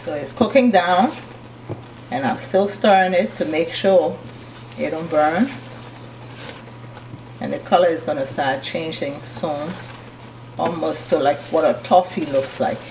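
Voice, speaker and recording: Woman, Liberian accent, cooking host, calm step-by-step talk, distant mic, frying noise. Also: deep voice, measured pace, creaky, pauses often. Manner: calm, step-by-step instructions while stirring. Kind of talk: casual cooking demonstration. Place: home kitchen at the stove. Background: sizzling pot and stirring.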